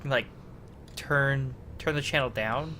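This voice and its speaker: A man giving casual, rambling gameplay commentary in a halting, self-conscious way, with some mild swearing. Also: medium pitch, noisy background. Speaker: host of a gaming video series.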